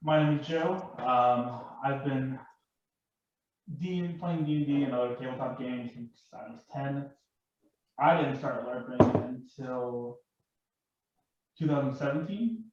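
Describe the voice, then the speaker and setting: A man's voice: medium pitch, slow, pauses often, a little mellow. A man introducing himself casually as a panel guest. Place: indoor room shared with others. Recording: very echoey, distant, muffled.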